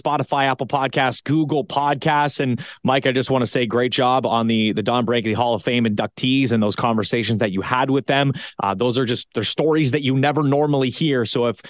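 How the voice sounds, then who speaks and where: A Canadian man co-hosts a sports podcast in casual style. His voice sits at a medium pitch and is recorded very dry and close. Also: steady tone, a little fast, few pauses, no room sound. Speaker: podcast co-host, radio station staffer.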